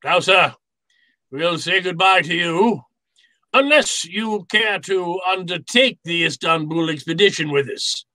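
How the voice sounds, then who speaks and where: An actor playing a genteel, wily fat crime boss in old-time radio drama style, with florid, courtly manners. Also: male voice, sits high, pushed and bright, dry recording, poor audio. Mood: mock courtesy. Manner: florid, courtly, unhurried, chuckling politeness. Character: fat, genteel, wily middle-aged criminal mastermind.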